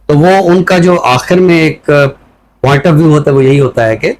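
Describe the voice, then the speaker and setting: A man with a Pakistani accent giving reflective, conversational commentary as a scholar, explaining things in a measured way. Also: fairly high pitch. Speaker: scholar commentator on a talk show.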